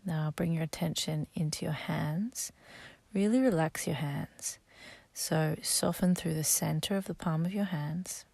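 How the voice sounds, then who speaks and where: A woman guiding a sleep meditation, speaking softly and gently, slow and instructive, sometimes half-whispered. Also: low voice, gravelly, husky, no room sound. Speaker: meditation guide.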